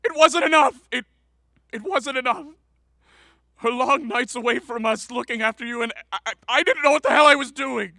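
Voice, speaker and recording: A man's voice: very high-pitched, moderate pace, gravelly, bright, forceful tone, no echo, studio quality, heavily acted. A man acting in a radio drama as an anguished, guilt-ridden middle-aged father who is defensive and stammers with emotion. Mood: anguished anger.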